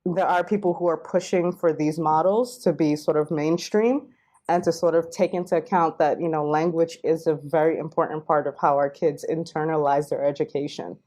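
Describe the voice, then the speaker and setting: A woman from Queens, New York, talking as a podcast guest and linguistic anthropologist, explaining at length in a fluent, relaxed way with many fillers. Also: sits low, moderate pace. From Queens, New York, United States. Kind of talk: casual interview.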